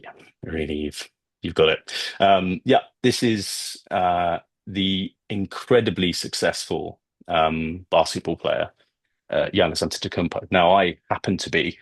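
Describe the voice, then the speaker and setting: A British man giving an academic talk, speaking in a halting, discursive way with asides. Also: low voice, no room sound. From Britain. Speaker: guest lecturer, researcher of ancient history. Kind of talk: academic talk with slides, semi-scripted.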